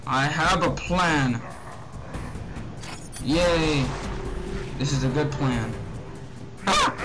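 A young man hosts a casual let's play. His voice is on the high side, excitable and given to grumbling reactions. Game music is clearly audible behind him. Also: very slow. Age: teens or young adult. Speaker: gaming video host.

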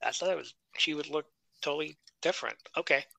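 A man's voice: fairly high pitch, fast, gravelly, pushed and bright, no echo. A man co-hosting a casual wrestling podcast, chatty and joking as he reads through his notes. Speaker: podcast co-host. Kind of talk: casual wrestling review chat.

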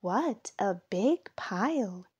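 A woman's voice: medium pitch, animated, slow pace, darkish tone, husky, muffled recording, full character voice. A woman voicing a cheerful, playful young female mouse in a storybook, eager and exclaiming. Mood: excited, eager.

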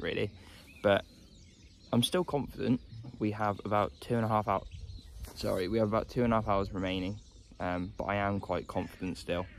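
A young man in his twenties filming himself on a phone by a lake, talking casually to camera in a low-key, resigned way with plenty of "um"s. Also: vocal fry, slight background noise. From England. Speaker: carp fishing vlogger. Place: outdoors by a lake. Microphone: phone camera held at arm's length.

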